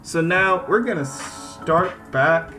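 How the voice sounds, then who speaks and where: A man's voice: a little high, expressive, takes it slowly. A man hosting a gaming video, chatting casually and reading game text aloud. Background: game soundtrack music.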